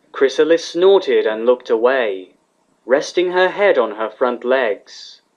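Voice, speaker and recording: A man's voice: mid-range voice, lively intonation, takes it slowly. A man reading scripted fiction as the narrator of a dramatic story. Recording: slightly dull, lo-fi recording.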